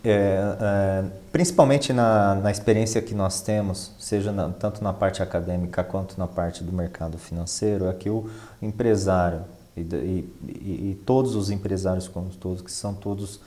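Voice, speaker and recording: A man's voice: low-pitched, fairly even intonation, normal speed, warm tone. A Brazilian man speaking conversationally as an expert guest, explaining things with emphatic repetition and rhetorical questions. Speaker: interview guest, finance professional and professor.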